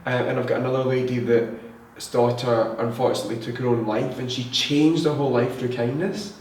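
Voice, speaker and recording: A man's voice: mid-range voice, fairly even intonation, fairly quick, darkish tone. A Scottish man chatting informally and earnestly as an interview guest, often checking in with his listener. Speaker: guest, spiritual teacher. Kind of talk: casual conversational interview. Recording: very echoey room, distant mic, faint noise.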